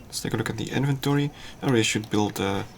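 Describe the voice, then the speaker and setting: A man whose first language is Dutch, casually talking through his game on a livestream in a halting, thinking-aloud way. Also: lowish voice, vocal fry, slight background noise. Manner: halting, self-deprecating, thinks aloud with fillers. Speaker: streamer.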